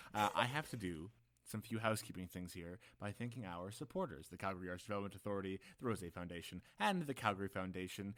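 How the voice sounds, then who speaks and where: A man's voice: fairly low pitch, fairly bright tone. A young adult man hosting a podcast in a casual, playful, wry manner. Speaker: podcast host.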